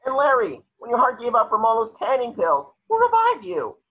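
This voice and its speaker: A man plays an optimistic cartoon sea sponge, boyish and earnest. The voice is husky and lively, swooping up and down as it rallies everyone, on a dull lo-fi microphone. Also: very high voice, normal speed, heavily acted. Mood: rallying. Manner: earnest, rallying, theatrical. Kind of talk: cartoon table read.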